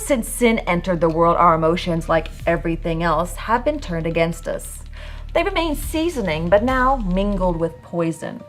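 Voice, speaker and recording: A woman hosting a podcast, delivering a scripted dramatic monologue in a theatrical, sardonic, emphatic manner. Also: on the low side. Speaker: podcast host. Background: music bed.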